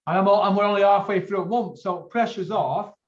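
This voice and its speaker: Male channel host, Northern English, higher-pitched voice, loose repetitive chat, dull roomy recording. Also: steady tone, a little fast. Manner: rambling, colloquial, sweary, repeats himself. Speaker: host of a boxing video channel. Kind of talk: casual chat.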